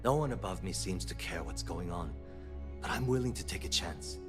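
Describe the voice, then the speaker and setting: Low-voiced, exasperated corporate manager. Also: male voice, expressive, moderate pace, over music. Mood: frustrated, pleading. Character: frustrated, principled corporate personnel manager in a sci-fi game. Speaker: video game character. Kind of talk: scripted game dialogue.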